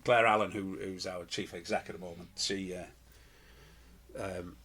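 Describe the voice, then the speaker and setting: A man's voice: deep voice, steady tone, a little slow, a bit bright, slightly rough. A middle-aged man, a pantomime performer telling stories in an interview, rambling with fillers and restarts. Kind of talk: casual interview chat.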